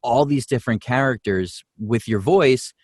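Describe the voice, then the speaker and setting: A man's voice: mid-range voice, moderate pace, a little mellow, no room sound, studio quality. A man, a voice actor, chatting casually on a panel in a rambling, laid-back way.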